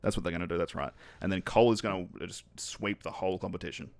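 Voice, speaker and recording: A man's voice: deep voice, quick pace, no room sound. A young Australian man co-hosting a podcast, bantering with self-mocking jokes and laughs. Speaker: podcast co-host. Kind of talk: casual chat.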